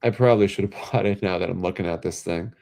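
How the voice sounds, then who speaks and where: A man, congested and a little nasal from a head cold, chatting casually and haltingly on his livestream, full of fillers and restarts. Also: sits low, creaky, darkish tone. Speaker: livestream host.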